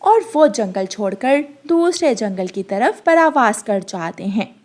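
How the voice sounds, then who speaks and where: A woman's voice: a little high, expressive, a little slow, a little mellow. A woman reading scripted narration for a children's cartoon story, as the storyteller.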